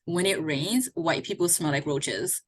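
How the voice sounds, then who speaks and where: Earnest low-voiced woman in her thirties. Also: unhurried, slight background noise. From Haiti. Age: 30s. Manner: reflective, earnest, many "like" and "you know" fillers. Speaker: podcast co-host. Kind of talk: casual conversation.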